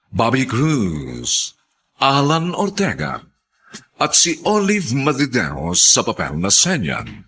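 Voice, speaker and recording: A Filipino man narrates and announces a radio drama. His delivery is slow and theatrical, with a solemn tone and very lively intonation. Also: medium pitch.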